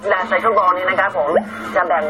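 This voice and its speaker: A man's voice: high-pitched, steady tone, quick pace, background noise. A man in his twenties hosting a children's show, presenting trivia and quizzes in a playful, upbeat big-brother way. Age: 20s. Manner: playful, speaks as an older brother to young viewers. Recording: music playing loudly behind the voice.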